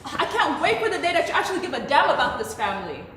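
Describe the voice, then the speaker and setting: A woman's voice: sits high, sing-song, quick pace, a bit bright. A woman acting an indignant, sarcastic adult daughter in a stage play. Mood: accusing. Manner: sarcastic, exasperated, emphatic. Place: indoor theatre stage, recorded from far off. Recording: echoey theatre, distant mic, faint noise.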